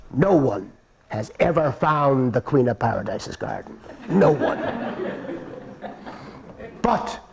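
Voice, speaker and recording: A kindly little old man of the forest speaks low, slow and solemn, offering help, as a man puts him on. His manner is gentle and deliberate, like an elder in a folk tale. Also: gravelly, full character voice.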